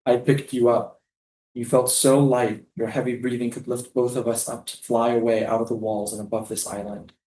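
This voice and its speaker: A man reading the part of a tender, defiant Palestinian refugee prisoner in a staged play, lyrical and deliberate. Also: medium pitch, flat delivery, a little mellow, lightly acted. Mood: intimate, dreamy. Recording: roomy, echoing live stage reading.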